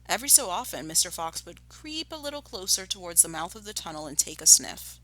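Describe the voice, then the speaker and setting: Bright-voiced woman teacher reading aloud. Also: on the low side, very animated, brisk, low-quality recording. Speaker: primary school teacher. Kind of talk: storybook read-aloud and lesson instruction.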